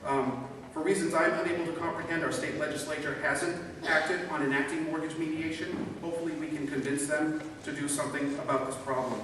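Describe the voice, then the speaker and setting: A man's voice: a little high, steady tone, brisk. A man giving earnest, persuasive testimony as a public commenter at a city council meeting. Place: large council chamber indoors. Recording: echoey council chamber from far off, noisy.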